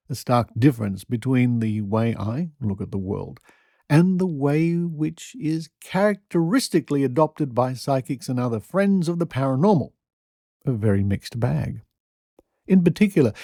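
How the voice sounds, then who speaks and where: A man hosting a podcast, reading articles aloud with measured, deliberate phrasing. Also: mid-range voice, warm tone, no echo, studio quality. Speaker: podcast host.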